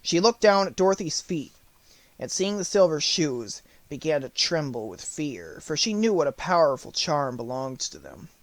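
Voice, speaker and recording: A man reading a children's novel aloud as an audiobook narrator, in measured, clearly phrased storytelling. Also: fairly high pitch, sing-song, no room sound.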